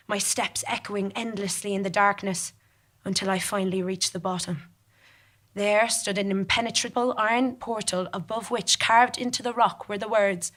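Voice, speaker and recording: A woman's voice: mid-range voice, fairly bright tone, natural voice. A woman performing a scripted comic stage monologue as a ruthless, competitive gambler, with dry, dramatic storytelling. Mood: suspenseful, wry. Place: theatre stage in front of an audience.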